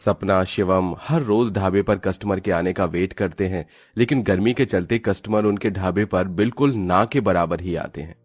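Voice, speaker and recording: A man's voice: low voice, moderate pace, hardly any pauses, no room sound, faint music behind. A man reading scripted narration for a cartoon story.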